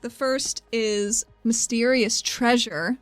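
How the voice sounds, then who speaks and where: A woman's voice: a little high, steady tone, slow pace, clean tone, dry recording, soft background music. A woman playing a tabletop role-playing game, chatting casually and narrating her character in a playful, informal way.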